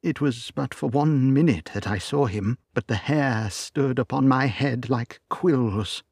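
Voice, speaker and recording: A man's voice: mid-range voice, measured pace, gravelly, darkish tone, husky, no echo, clean studio sound, pitched above the performer's own voice. A man voicing a loyal, frightened old male butler, pleading and earnest in a storybook reading.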